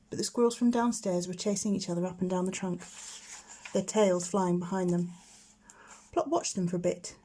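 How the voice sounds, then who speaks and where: A woman teacher reading a children's bedtime story aloud in an expressive storytelling style. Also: medium pitch.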